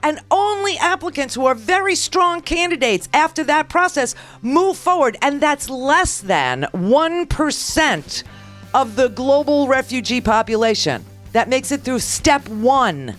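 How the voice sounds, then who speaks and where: A woman's voice: sits high, sing-song, measured pace, a bit bright, soft background music. A woman hosting a political talk radio show, lecturing her listeners with brash sarcasm.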